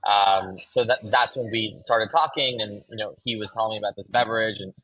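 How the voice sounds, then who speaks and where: A man from Los Angeles, a brand co-founder, talking casually in a podcast interview and pausing on ums and restarts. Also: on the low side, slightly rough, dry recording, dull audio. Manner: relaxed, fills with ums and restarts.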